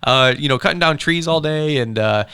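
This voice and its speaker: A man's voice: a little high, normal speed, bright, forceful tone, clean tone, no room sound. A man hosting a podcast in a studio, chatting casually in a rambling, informal, bro-ish way on a close microphone. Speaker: podcast host. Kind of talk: casual upbeat podcast chat.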